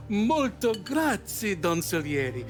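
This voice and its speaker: An actor in a video game playing a fawning, jovial Italian restaurant owner, effusive and deferential, with an Italian accent. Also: male voice, sits high, sing-song, takes it slowly, soft background music, heavily acted. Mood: obsequious, jovial.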